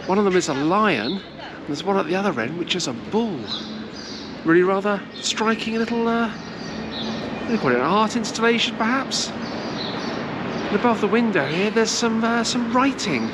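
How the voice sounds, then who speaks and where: A woman's voice: medium pitch, lively intonation, normal speed, pauses often. A middle-aged woman presenting a walking-tour travel vlog to a camera held at arm's length. She talks in a chatty, meandering way with frequent hesitations. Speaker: travel vlogger. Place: outdoors in sunny city squares and streets. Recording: outdoor street noise and traffic.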